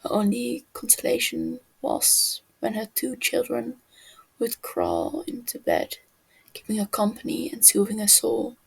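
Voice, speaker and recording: A woman's voice: on the high side, wildly swooping pitch, measured pace, vocal fry, breathy edge, rough recording. A woman reading a scripted fantasy tale as a podfic narrator.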